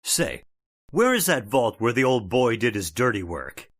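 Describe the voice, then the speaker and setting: A man's voice: medium pitch, very animated, measured pace. A man playing a brash skeptical house owner. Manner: breezy, doubting, casual slang. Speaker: Mister Douglas, new owner of the house. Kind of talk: old-time radio drama acting.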